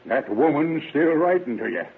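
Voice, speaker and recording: Gruff, husky old country father. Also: a little high, expressive, normal speed, full character voice. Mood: stern, annoyed. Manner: gruff, folksy rustic talk. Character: stern, pious, stubborn old rural father. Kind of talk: 1930s radio acting. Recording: muffled phone-like vintage radio recording.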